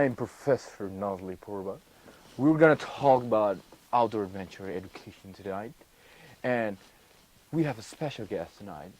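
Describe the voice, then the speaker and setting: A man plays the host of a student-made TV interview, his voice rising and falling in lively swings. He asks polite, formal questions and cheers each answer. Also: mid-range voice, slight background noise, low-quality recording. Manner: enthusiastic, formal interviewer phrasing. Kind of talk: mock TV show hosting.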